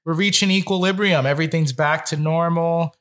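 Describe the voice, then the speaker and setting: A man's voice: fairly high pitch, steady tone, brisk, a bit bright. A man hosting a casual podcast, opening the episode and teasing his co-hosts with playful jokes. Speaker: podcast co-host.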